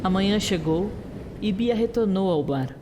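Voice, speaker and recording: A woman's voice: mid-range voice, lively intonation, measured pace, background noise. A woman narrator telling a scripted story in an audio drama.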